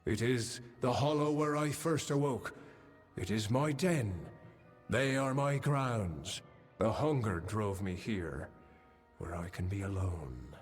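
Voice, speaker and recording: A man playing a cursed, tormented and bloodthirsty fey lord of the hunt in a fantasy game, with a halting, menacing delivery. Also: fairly low pitch. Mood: brooding, proud. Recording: long cavernous reverb, music behind.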